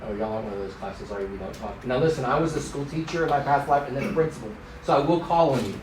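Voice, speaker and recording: A man in his fifties who grew up in Thailand, teaching a church class in an animated, joking way and teasing the people in it. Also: medium pitch, fast talker, a little hiss or hum. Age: 50s. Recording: echoey room.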